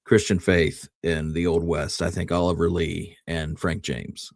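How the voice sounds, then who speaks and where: Male co-host, low voice, casual history chat, dry studio-like sound with no room echo. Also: little pitch movement, measured pace, creaky.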